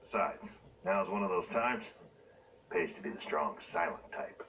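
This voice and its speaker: An actor playing a calm, reassuring, gruff male soldier in a video game. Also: sits low, unhurried. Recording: dull, distant game audio, noisy.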